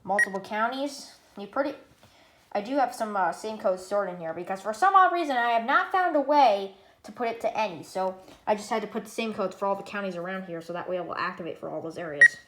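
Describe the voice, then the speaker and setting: A woman giving a casual, unscripted gadget demonstration in a rambling, halting way, often correcting herself. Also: mid-range voice, lively intonation. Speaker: product reviewer. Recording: echoey room, loud background noise.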